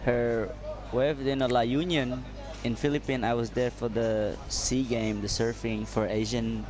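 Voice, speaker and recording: A man co-commentating a surf contest in halting, friendly English, drawing on his own surfing. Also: mid-range voice, little pitch movement. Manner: halting, friendly, laughs easily. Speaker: co-commentator and surfer. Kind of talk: live sports commentary. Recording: noisy outdoor ambience with traffic.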